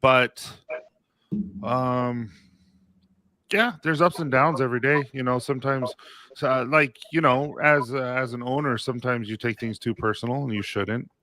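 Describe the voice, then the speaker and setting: Bright, tired-sounding man rambling casually. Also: mid-range voice, steady tone, measured pace, no room sound. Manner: tired, laid-back, rambling. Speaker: business owner and host. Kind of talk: casual livestream chat.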